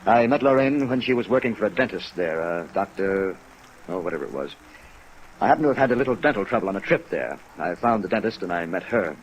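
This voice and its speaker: Low-voiced genteel businessman, played by a man. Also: fast, close to an everyday voice, poor audio. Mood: formal, apologetic. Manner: formal, genteel, hesitant. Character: polite, evasive, rueful male brokerage company president. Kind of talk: 1950s radio drama acting. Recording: vintage radio recording under rain noise. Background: steady rain sounds.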